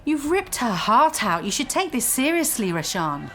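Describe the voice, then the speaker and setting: A woman's voice: on the high side, big pitch swings, moderate pace, faint music behind. An actress playing a bitter, intense young woman, a scorned lover pressing her point insistently in a naturalistic radio drama. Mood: urgent, accusing.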